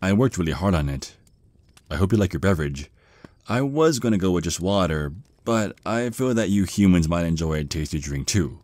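A very low, close and dry male voice , an actor's, plays a bashful young vampire in an ASMR roleplay. He stammers nervously and rambles in awkward, halting bursts, easily flustered. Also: lively intonation, a little mellow, dry recording. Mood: apologetic, flustered.

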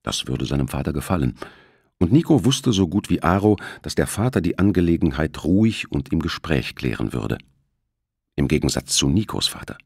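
A man's voice: extremely low pitch, very animated, normal speed, creaky, darkish tone, husky, dry recording. A man narrating a suspense novel as an audiobook, reading from a script. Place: close, dry studio recording.

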